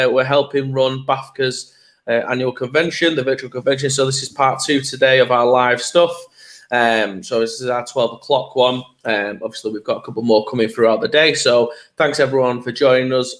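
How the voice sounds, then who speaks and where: A man hosting a live online convention stream, chatting casually with relaxed fillers. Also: medium pitch. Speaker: podcast host.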